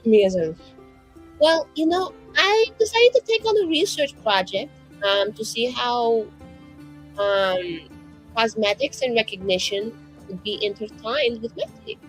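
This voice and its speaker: A woman's voice: fairly high pitch, lively intonation, measured pace, soft background music, pitched below the performer's own voice, poor audio. A woman voicing a nervous, scheming young mage in a fantasy tabletop game, rambling, slangy and anxiously earnest. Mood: evasive, rambling.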